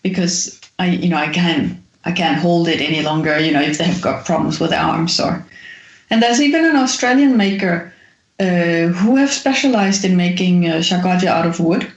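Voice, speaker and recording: Echoey, muffled woman explaining at length. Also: lowish voice. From Denmark. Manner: thoughtful, halting, with frequent fillers. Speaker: guest musician. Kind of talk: explanatory interview talk.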